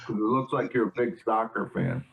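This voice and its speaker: Halting male host on a tinny line. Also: lowish voice, vocal fry. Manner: halting, repeats words and restarts often. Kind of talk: casual interview.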